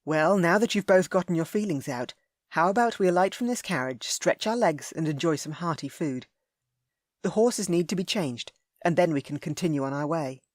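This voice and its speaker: A woman voicing a kind, honourable gentleman who speaks politely. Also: on the low side, expressive, moderate pace, no echo, studio quality. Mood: courteous. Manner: polite, accommodating.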